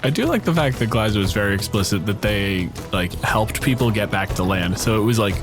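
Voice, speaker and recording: Male podcast host, lowish and animated, wry and casual, asking questions over music. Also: brisk. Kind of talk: casual chat, improvised interview.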